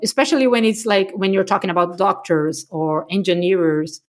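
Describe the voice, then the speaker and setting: A woman's voice: on the low side, normal speed. Brazilian woman co-hosting a podcast chat. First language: Portuguese. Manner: animated, laughs often, self-mocking asides. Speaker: podcast co-host. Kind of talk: casual conversation.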